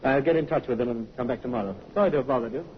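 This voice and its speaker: An actor playing a smooth, composed male con man in an old radio drama, evasive when pressed. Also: mid-range voice, rapid-fire, slight background noise, close to an everyday voice. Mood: cool. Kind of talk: 1950s radio acting. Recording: muffled vintage radio recording.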